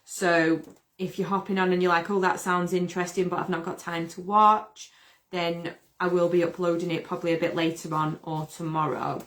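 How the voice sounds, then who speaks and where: Chatty woman, slightly croaky. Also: on the low side, little pitch movement, moderate pace, fairly bright tone. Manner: chatty, upbeat, greets viewers by name. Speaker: host. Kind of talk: casual live stream chat.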